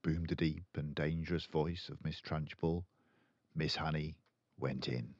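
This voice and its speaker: A man's voice: very deep voice, steady tone, a little slow, no echo, dull audio. An older man reading a children's book aloud expressively as a storyteller.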